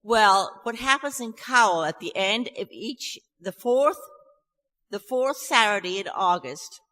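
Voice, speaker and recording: A woman's voice: medium pitch, pushed and bright. A Scottish woman chatting to a live audience before she sings, nervous and self-deprecating, with many restarts. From Scotland. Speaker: festival singer introducing a song. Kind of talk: chatty stage talk to an audience. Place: church hall. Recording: live recording in a reverberant hall.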